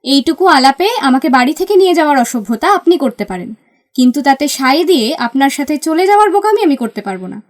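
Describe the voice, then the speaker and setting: A guarded young woman in an audio romance, acted by a woman, speaking in a high voice. She is prickly and irritated, pushing back at a persistent suitor. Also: big pitch swings, fast talker. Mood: angry. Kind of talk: audio drama dialogue.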